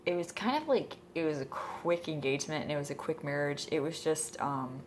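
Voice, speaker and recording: Low-voiced congested woman rambling. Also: expressive, a little fast, slight background noise. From Michigan. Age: 20s. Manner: rambling, hesitant, full of ums. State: congested from a cold. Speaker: vlogger. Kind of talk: casual introduction vlog. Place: indoors at home.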